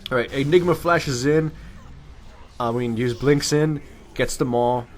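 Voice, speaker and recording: A man's voice: mid-range voice, a little slow, a little hiss or hum. A man giving casual, off-the-cuff gameplay commentary, rambling and correcting himself in crude, slangy language. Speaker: gaming video commentator.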